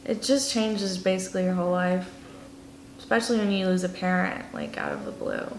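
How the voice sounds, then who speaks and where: A woman of twenty-three speaking candidly and haltingly about personal things in a documentary interview. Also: lowish voice, big pitch swings, a little hiss or hum. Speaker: documentary interviewee, nursing student. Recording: roomy echo with music behind. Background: instrumental music.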